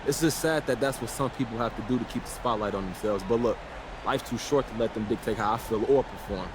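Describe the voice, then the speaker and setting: A man's voice: mid-range voice, fast talker, lightly acted. Man playing a cocky young American basketball star, noisy background. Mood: irritated, self-assured. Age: young adult. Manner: boastful, self-assured, casual. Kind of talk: post-game interview acting in a sports game.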